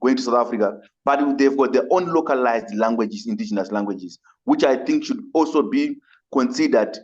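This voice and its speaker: Zimbabwean man, migrant advocate on a webinar, earnest and halting, mid-range voice, muffled call audio. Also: mid-range voice, darkish tone. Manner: earnest, halting, repeats and rephrases as he goes. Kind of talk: webinar audience comment.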